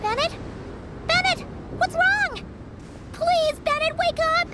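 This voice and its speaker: A woman's voice: very high voice, slow, very noisy. A woman voicing a small, girlish, devoted companion in a video game, urgent and pleading. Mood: frantic pleading. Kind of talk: animated video game acting.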